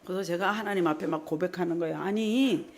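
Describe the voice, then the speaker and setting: A middle-aged woman from South Korea, a preacher giving a personal testimony at a revival meeting in an animated storytelling manner. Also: fairly low pitch, fast. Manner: animated storytelling, re-enacts her own prayers in direct speech.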